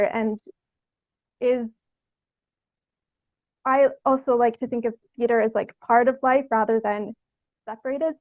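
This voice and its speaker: High-voiced young woman, thinking aloud. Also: no room sound, phone-quality sound. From Canada. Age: young adult. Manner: hesitant, thoughtful, frequent "like" as filler. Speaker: podcast guest, theatre artist. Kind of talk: conversational interview answers.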